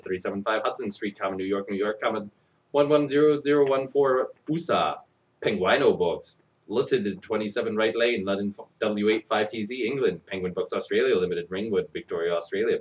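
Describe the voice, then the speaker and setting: Theatrical man, pitch swinging widely, slightly distant. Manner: theatrical, sardonic, profane. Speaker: comic storybook reader. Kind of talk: mock-dramatic reading with ad-libbed crude commentary.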